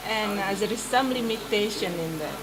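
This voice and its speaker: A woman university teacher with an Indonesian accent, speaking in a workshop discussion, often pausing to search for words. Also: mid-range voice, animated, poor audio. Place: workshop room. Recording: crowd chatter in background.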